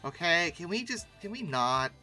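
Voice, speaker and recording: A commentator voicing a weary, reluctant dinosaur with stammering, low-energy pleading, in a humorous video. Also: big pitch swings, normal speed, pushed and bright, soft background music. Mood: tired, reluctant. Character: weary reluctant dinosaur who wants a nap.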